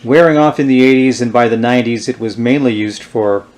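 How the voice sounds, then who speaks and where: A man with a medium-pitched voice presents an informal product demonstration. He speaks without a script, in a halting and unhurried way, as someone showing items piece by piece. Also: a little mellow. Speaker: presenter showing vintage radio gear. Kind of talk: unscripted show-and-tell demonstration.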